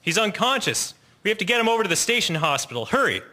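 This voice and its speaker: A man's voice: high-pitched, very animated, quick pace, heavy background noise. A young man playing an eager airman in a historical audio drama. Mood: alarmed. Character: eager young airman, proud gun owner, later alarmed.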